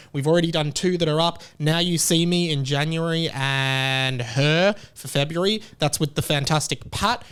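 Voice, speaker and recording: A man's voice: fairly high pitch, fairly bright tone, clear, resonant tone. A man hosting a podcast, chatting casually and rambling with lots of fillers and restarts. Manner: rambling, self-interrupting, many fillers. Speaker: podcast host.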